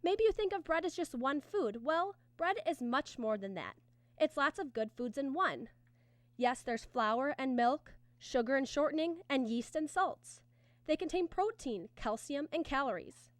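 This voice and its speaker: A woman's voice: high-pitched, lively intonation, unhurried, no room sound. A woman announcer reading a scripted 1950s-style radio advertisement with an earnest, emphatic sales delivery.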